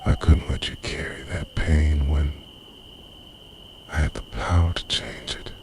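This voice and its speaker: Very deep, creaky, hushed devoted boyfriend, acted by a man. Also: steady tone, background noise, exaggerated voice. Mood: tender, intense. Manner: hushed, slow, intimate, often slipping into a whisper. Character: obsessive, devoted boyfriend who secretly time-travels, confessing to lover. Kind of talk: ASMR roleplay.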